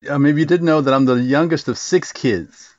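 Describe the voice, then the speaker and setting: A man from Virginia who plays cello in an orchestra, reminiscing in a relaxed way in an interview. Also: a little high, moderate pace, no echo, highs cut off. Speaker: orchestra cellist guest. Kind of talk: interview storytelling.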